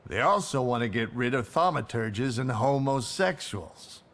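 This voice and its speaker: An actor playing a blunt, sardonic grumbler in a video game, gruff and irreverent. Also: male voice, medium pitch, lively intonation, unhurried, fairly bright tone. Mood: wry. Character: sardonic, grumbling, blunt man in old Warsaw. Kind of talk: video game cutscene acting.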